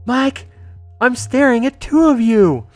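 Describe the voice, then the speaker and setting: A man's voice: very high voice, animated, takes it slowly, a little mellow, no echo, soft background music, voice pushed up, full character voice. A man voicing an alarmed, exasperated girlfriend in a horror visual novel, with a dramatic, incredulous delivery. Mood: shocked, incredulous.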